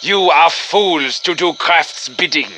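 Sharp, high-voiced German Nazi villain. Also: male voice, slow, slight background noise. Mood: gloating contempt. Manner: menacing, pompous, gloating. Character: villainous Nazi officer commanding undead soldiers, male, arrogant, vengeful. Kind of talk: theatrical video game villain acting.

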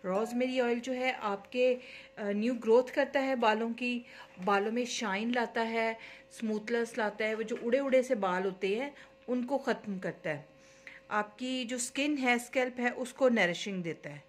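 A woman's voice: fairly high pitch, normal speed. A woman who runs a beauty salon, chatting step by step through a homemade hair oil recipe in her kitchen, with small fillers as she works. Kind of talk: home cooking-style hair oil tutorial. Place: home kitchen at stove.

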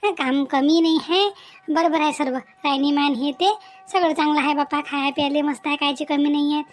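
A woman's voice: high-pitched, steady tone, fairly quick, natural voice. A woman voicing a chatty, dutiful young married village woman in a cartoon family drama, with a Varhadi Marathi accent. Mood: cheerful, chatty. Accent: Maharashtrian, Varhadi Marathi.

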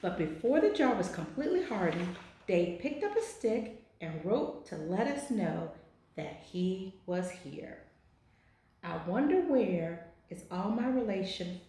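A woman in her forties reading a children's picture book aloud with deliberate, expressive phrasing in an office. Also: on the low side. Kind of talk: children's storybook read-aloud. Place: small indoor office. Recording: very echoey, roomy sound.

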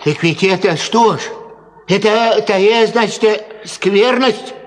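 Halting old peasant man, high-pitched. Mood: indignant. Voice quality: halting, tongue-tied, full of filler words. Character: pious, stammering old peasant man, simple and indignant. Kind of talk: 1950s Soviet radio play acting. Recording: 1950s radio recording, long reverb.

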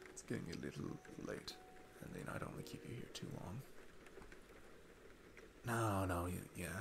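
A man's voice: deep voice, vocal fry, noisy background, full character voice. A man playing a sweet, earnest, geeky single dad on a date, rambling and hesitant in an intimate ASMR roleplay. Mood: shy, tender.

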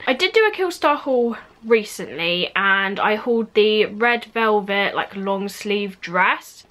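Chatty young woman, bright voice. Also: medium pitch. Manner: chatty, lots of "like" fillers. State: just over a cold. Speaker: fashion vlogger. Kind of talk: casual clothing haul review. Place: bedroom at home, indoors.

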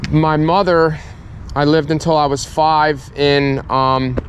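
A man's voice: a little high, slow. Easygoing Philly guy haggling at a yard sale. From Philadelphia. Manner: easygoing, chatty, counts items aloud. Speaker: thrift reseller vlogger. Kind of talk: casual chat while haggling at a yard sale. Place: outdoors at a driveway yard sale. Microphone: chest-mounted action camera. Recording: outdoor camera mic with steady background noise.

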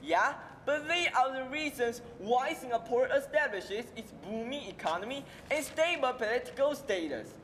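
A man's voice: extremely high pitch, lively intonation, moderate pace, hardly any pauses, fairly bright tone, slight background noise. A young man playing a Taiwanese student in a school stage skit, speaking earnest, carefully recited English. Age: young adult. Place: large auditorium stage. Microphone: stage floor mics from afar. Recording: hall reverb with faint music.

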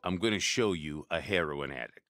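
An actor playing a cruel, gloating mob enforcer in a radio drama, menacing and taunting. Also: male voice, a bass voice, animated, fairly quick, loud music behind the voice. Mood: cold.

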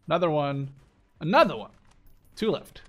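A man's voice: fairly high pitch, expressive, normal speed, fairly bright tone. A man in his twenties giving casual let's play commentary, rambling and repeating himself, with casual swearing. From Netherlands. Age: 20s. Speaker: gaming video host.

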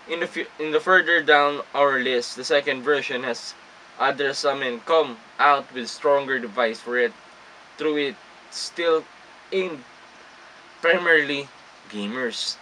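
Young filipino guy reading phone specs off a script, trips over words, bright voice, little ac hum behind. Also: mid-range voice, rough recording. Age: young adult. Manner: stumbles and restarts over words while reading aloud. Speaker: gaming vlogger. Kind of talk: reading phone reviews with casual asides.

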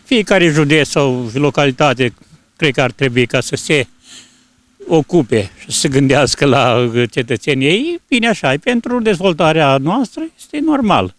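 An elderly man answering a street interview haltingly, searching for words, into a handheld microphone. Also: fairly high pitch, expressive, no echo. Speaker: passer-by interviewee. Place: outdoors on a snowy street. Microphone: reporter's handheld microphone.

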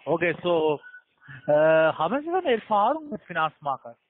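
High-pitched man with foreign accent, phone. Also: very animated, no echo. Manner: halting, broken non-native grammar. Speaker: telemarketer. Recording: tinny narrow phone line.

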